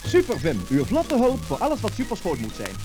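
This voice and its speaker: Male announcer, extremely high pitch, very animated, scripted advert, music behind. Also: fairly quick, low-quality recording. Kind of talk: scripted cleaning-product commercial narration. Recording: old test disc with music.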